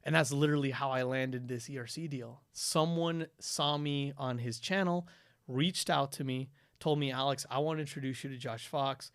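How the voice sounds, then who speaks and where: Young dude from ecuador, casual storytelling, lots of restarts, dry close sound. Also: male voice, mid-range voice, moderate pace, clean tone, dry recording. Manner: animated storytelling, frequent "dude" and "like", many restarts. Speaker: guest. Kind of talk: casual podcast conversation.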